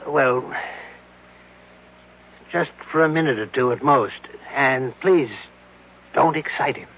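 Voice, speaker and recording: An actor playing a protective hospital doctor in a 1970s radio drama, formal, careful and firm. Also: male voice, mid-range voice, takes it slowly. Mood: measured. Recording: noisy, tinny old radio broadcast.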